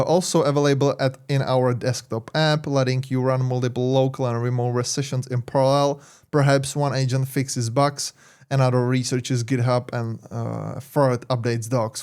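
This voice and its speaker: A man's voice: mid-range voice, a little mellow. A man with a Czech accent, a tech YouTuber talking casually over his screen, rambling with many fillers and self-corrections. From Czech Republic.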